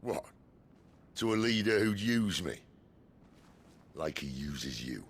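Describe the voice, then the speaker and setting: A man's voice: low voice, big pitch swings, takes it slowly, full character voice. An actor playing a weary male outlaw leader in a game cutscene, dry and sardonic. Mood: pleading. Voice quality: gravelly.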